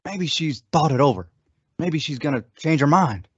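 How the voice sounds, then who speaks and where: Young man as a folksy high-voiced Western sidekick. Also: expressive, normal speed, husky, dry recording. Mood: earnest, gossipy. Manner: rustic, folksy phrasing. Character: folksy, chatty, earnest lawman's sidekick. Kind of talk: old-time radio Western drama acting. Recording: dull, dry lo-fi recording.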